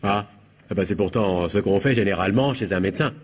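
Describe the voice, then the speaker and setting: An actor playing a nervous, gullible husband in a French radio comedy-drama, stammering, excitable and repeating himself. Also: male voice, on the low side, brisk, husky. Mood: incredulous. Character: flustered, gullible cuckolded husband, nervous and pliant.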